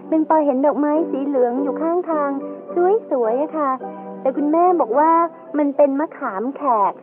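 A woman's voice: high voice, normal speed, lightly acted. A woman playing a curious, polite little girl who asks questions in a childlike way in an educational radio drama. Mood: curious, eager. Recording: tinny band-limited audio with faint music.

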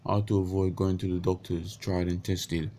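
A man in his thirties with a croaky morning voice, talking unscripted into a webcam in a small bedroom, halting with many restarts and stumbles. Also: low-pitched, flat delivery, dark tone, a little hiss or hum. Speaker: vlogger. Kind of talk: unscripted casual monologue. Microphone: webcam at close range.

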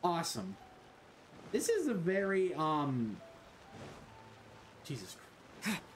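A young man from Florida streaming a video game from his bedroom, joking, swearing and reacting loudly. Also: a little high, huge pitch swings, takes it slowly, a little hiss or hum, rough recording. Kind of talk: casual game-stream commentary. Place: small bedroom indoors. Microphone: desk mic, wearing a headset.

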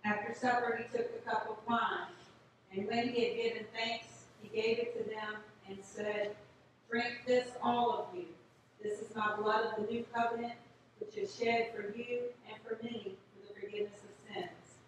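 An older woman, a priest, recites liturgical prayer in a solemn, measured, declaiming manner. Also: a little high, level tone, distant mic. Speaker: Episcopal priest. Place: inside a small church at the altar. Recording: echoey church from afar, noisy background.

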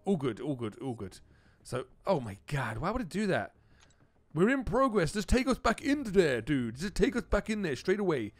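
A man giving casual, excitable gameplay commentary as a video host, often repeating phrases for emphasis. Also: fairly high pitch, normal speed, no echo.

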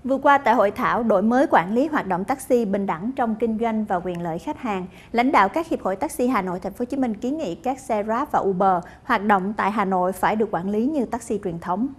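A woman's voice: mid-range voice, animated, fairly quick, hardly any pauses, slightly rough. A woman in her thirties presenting the news from a TV studio, formally addressing viewers. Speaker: news anchor.

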